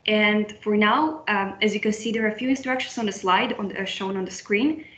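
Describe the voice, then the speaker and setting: A woman's voice: medium pitch, a little fast, fairly bright tone. A young woman from Moldova moderating an online student webinar, friendly, often restarting and repeating phrases. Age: young adult. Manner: friendly, with frequent restarts and repetitions. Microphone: video call. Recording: roomy, dull video-call audio.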